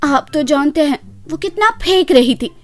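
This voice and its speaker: Woman playing a high, bubbly, boastful young daughter-in-law. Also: moderate pace. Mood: wheedling. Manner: wheedling, excitable, showy. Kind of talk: animated Hindi moral story character voice.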